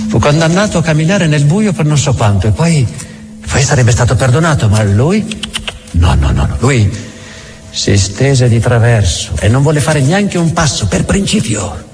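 An actor playing a world-weary, mocking devil in a theatrical radio drama monologue, brooding and sardonic, halting and then rushing. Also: male voice, medium pitch, a little mellow. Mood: sardonic storytelling. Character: devil, vulgar world-weary mocking tempter musing on doubt.

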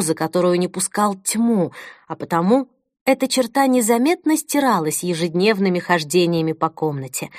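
A woman narrating an audiobook in a measured, deliberate, unhurried manner. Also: medium pitch, no echo, studio quality. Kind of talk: audiobook reading.

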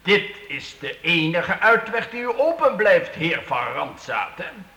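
An actor playing a jovial, commanding medieval count in a youth radio play, speaking in a stately, formal way. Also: male voice, sits high, sing-song, measured pace, sharp tone, exaggerated voice. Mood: stern, commanding. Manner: stately, formal royal-we phrasing. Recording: roomy, echoing radio play recording.

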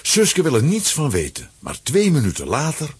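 A man with a Flemish accent and a husky voice, narrating a children's adventure as a scripted audio drama. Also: fairly high pitch, huge pitch swings, moderate pace, a little hiss or hum. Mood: storytelling.

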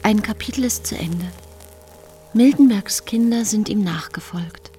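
Actress as quiet, pious yet disdainful mother, mid-range voice, radio play monologue, faint music behind. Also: medium pitch, a little slow, close to an everyday voice. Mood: cool. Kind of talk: radio drama inner monologue.

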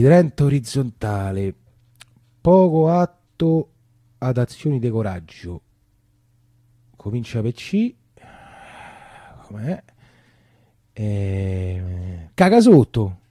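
A man's voice: medium pitch, animated, drawn-out words, pauses often, mellow, no room sound. A man with a Roman accent acting a theatrical storytelling monologue in a colloquial, confiding way. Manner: colloquial, confiding, repeats phrases. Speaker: stage actor.

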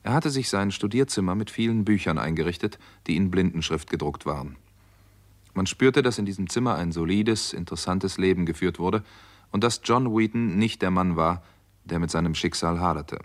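The doctor hero of a radio crime drama, with a very low voice. He speaks tersely and with control, as a sober detective-story narrator would. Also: expressive, moderate pace. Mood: sober narration.

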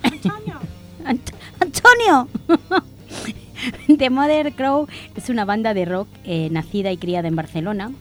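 A woman's voice: a little high, unhurried, long pauses. A woman with an accent from Spain presenting a music radio show in a chatty, informal, self-deprecating way. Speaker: radio host.

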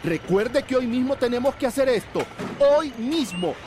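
An actor playing a stern, urgent boss of a work crew in a radio drama. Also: male voice, very high voice, expressive, moderate pace. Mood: commanding. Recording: noisy outdoor vehicle ambience.

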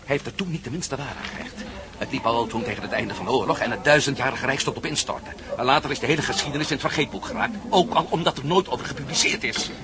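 A man's voice: medium pitch, fast talker, crowd noise, natural voice. An actor playing an eager, talkative male investigator in a radio drama. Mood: building suspense. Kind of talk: Dutch radio drama acting. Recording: noisy, hissy radio play recording.

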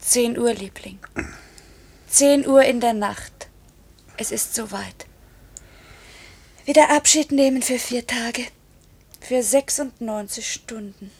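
A woman playing a tender, anxious young pilot's wife in a 1950s radio play, girlish and adoring, soft and breathy. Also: fairly high pitch, slow, long pauses, heavily acted. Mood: wistful. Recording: noisy old radio recording.

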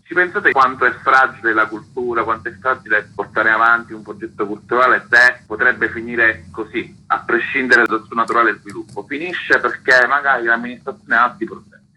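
A man's voice: mid-range voice, brisk, pushed and bright, husky, poor audio. A man giving earnest, articulate, explanatory answers as a guest in a radio interview. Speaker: artistic director of a theatre festival, interview guest.

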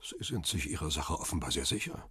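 A man playing a cool, cynical paparazzo in a crime radio drama, speaking in a measured, deliberate and guarded way. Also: sits low, animated, husky, no room sound, clean studio sound, heavily acted. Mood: curt, irritated. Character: male paparazzo photographer, cool, cynical, guarded first-person narrator. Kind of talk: first-person crime radio drama narration and dialogue.

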